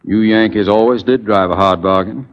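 An actor playing a cunning Confederate officer in 1940s radio style, formal and smoothly menacing. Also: male voice, on the low side, normal speed, close to an everyday voice. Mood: coolly menacing. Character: cool, courteous, cunning Confederate spy officer. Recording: muffled, noisy 1940s radio recording with clicks.

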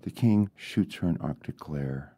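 Mellow, expressive male author reading fiction. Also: a bass voice. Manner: deliberate, dramatic pauses. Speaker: author reading own story. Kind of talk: literary storytelling, scripted narration.

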